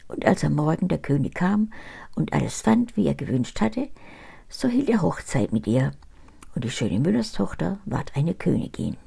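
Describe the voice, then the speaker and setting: Gravelly, lively old storytelling woman. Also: lowish voice. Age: older, about 70s. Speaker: storyteller reading fairy tales. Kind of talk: reading a fairy tale aloud. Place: cozy home study with bookshelves, indoors.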